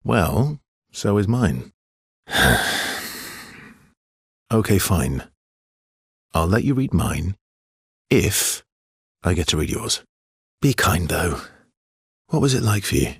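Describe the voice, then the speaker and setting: A gentle, world-weary man on an expedition in a video game. His voice sits deep in the chest, heavily breathy and rasping, with gravelly fry. He speaks in an understated, hesitant way with dry wit. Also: big pitch swings, takes it slowly, long pauses, dry recording, full character voice. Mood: gentle, wistful. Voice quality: low, husky, gravelly. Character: weary, wry, gentle male expedition wanderer with secrets. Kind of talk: video game dialogue acting.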